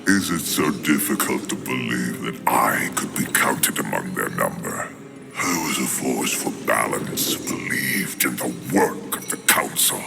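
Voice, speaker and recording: An extremely deep, gravelly ancient being. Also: male voice, expressive, music in the background, exaggerated voice. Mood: solemn, reflective. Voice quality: gravelly, cavernous. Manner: slow, grave, formal pronouncements with dramatic pauses. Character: ancient solemn Lord of Hollows, demonic soul-gathering being. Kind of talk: dramatic video game acting.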